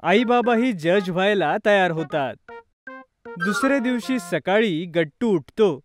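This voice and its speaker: A woman's voice: medium pitch, lively intonation, measured pace, faint music behind. A woman narrator reading a scripted children's story.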